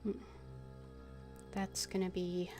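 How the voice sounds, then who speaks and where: Slow-talking woman streaming a game. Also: lowish voice, completely flat delivery, warm tone, faint music behind. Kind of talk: reading game dialogue aloud, muttering while playing.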